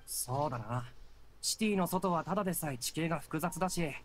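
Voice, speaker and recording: A man's voice: on the high side, animated, brisk, slight background noise, lightly acted. A man voicing a puzzled young townsman in an anime game, thoughtful and uncertain. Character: casual young townsman, puzzled passer-by witness.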